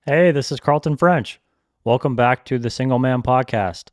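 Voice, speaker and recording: A man's voice: medium pitch, moderate pace, faint music behind. A man in his twenties from Georgia, hosting a solo podcast and earnestly sharing a personal testimony in a reflective, storytelling way with frequent ums. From Georgia, United States. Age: 20s. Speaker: podcast host.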